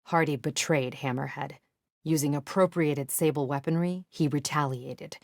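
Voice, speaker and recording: A woman's voice: low voice, moderate pace, gravelly, a little mellow, no echo. A woman voicing a tough, no-nonsense female police captain in a video game, speaking in a terse, authoritative, dry manner. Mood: grave briefing.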